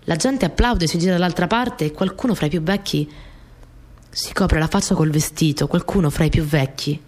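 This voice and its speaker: A woman playing a stubborn, eager sixteen-year-old partisan girl in a theatrical radio monologue, telling her wartime story with dramatic, halting repetitions. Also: deep voice, darkish tone. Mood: sombre. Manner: dramatic, halting delivery with repeated phrases.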